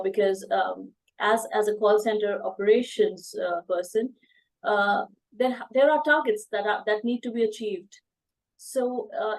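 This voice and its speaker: A woman with an Indian accent, hosting a podcast and asking questions in a halting, filler-heavy way. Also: a little high, a little mellow, low-quality recording. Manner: halting, many fillers and restarts, brief affirmations. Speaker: podcast host. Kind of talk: interview questions.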